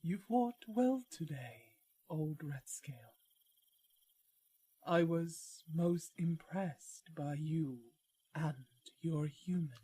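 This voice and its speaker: A woman voicing a proud, gentle female dragon in a fantasy audio roleplay, with a soft, breathy voice and formal, poised speech. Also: low-pitched, expressive, drawn-out words, exaggerated voice. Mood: playful, admiring. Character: proud, gentle female dragon, youthful-spirited island protector.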